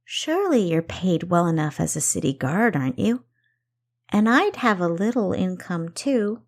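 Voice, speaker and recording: A woman's voice: on the low side, sing-song, normal speed. An eager young woman adventurer from an audiobook, voiced by a woman narrator. She is the stubborn younger sister, pointed and full of questions. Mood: probing.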